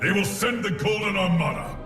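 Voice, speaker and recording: A man's voice: high voice, a little fast, lightly acted. An actor playing a grave male alien warrior in a game cutscene. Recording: echoey game cinematic with music.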